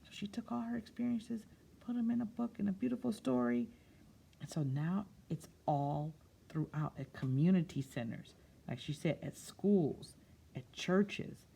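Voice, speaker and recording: A woman's voice: medium pitch, a little slow, vocal fry, warm tone, breathy edge. A woman reading a picture book aloud to children, drawing out phrases expressively and breaking off into simple, casual explanations. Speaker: children's storyteller.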